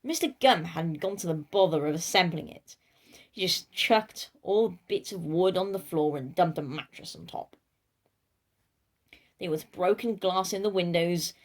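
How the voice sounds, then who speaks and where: A woman's voice: fairly low pitch, moderate pace. A woman reading a children's storybook aloud in a playful, theatrical way, speaking directly to her listeners. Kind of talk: animated children's storybook narration.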